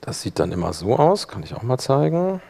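A man presenting a workshop, explaining a software demonstration informally and talking himself through each step as he works. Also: medium pitch, sing-song, a little fast, gravelly, husky. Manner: informal, talking through clicks while working. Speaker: workshop presenter, audio editor.

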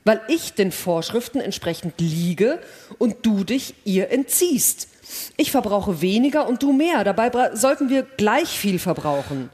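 A woman's voice: medium pitch, big pitch swings, a little slow, a little mellow, slightly rough. An actress playing a distrustful, rule-abiding female astronaut who speaks curtly and reproachfully in a sci-fi radio play. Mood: testy. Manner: curt, reproachful. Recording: long hall reverb.

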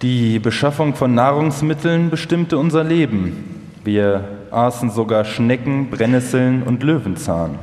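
A man playing a German engineer soberly recounting his wartime memories in a measured, formal way in a documentary radio feature. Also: mid-range voice, close to an everyday voice. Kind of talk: documentary radio feature, live first-person reading. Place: large hall, live stage performance. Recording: echoey hall with long reverb.

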